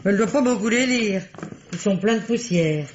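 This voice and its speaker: A woman's voice: medium pitch, lively intonation, moderate pace, darkish tone, close to an everyday voice. A woman playing a nosy, cheeky working-class housemaid in a radio mystery, chatty and colloquial. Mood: nosy, muttering. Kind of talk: vintage French radio mystery acting. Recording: dull, noisy old radio recording.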